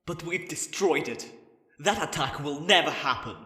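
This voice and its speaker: An actress playing an anxious, pleading young companion in an audio drama, exasperated and emotional. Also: female voice, low voice, expressive, moderate pace, bright, forceful tone. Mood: disbelieving. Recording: echoey, reverberant space.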